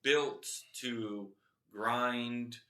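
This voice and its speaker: Guy hosting a podcast, bright voice, lots of ums, kinda roomy echo. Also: medium pitch, fairly even intonation, extremely slow, slight background noise. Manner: friendly, rambling, many fillers. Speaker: podcast host.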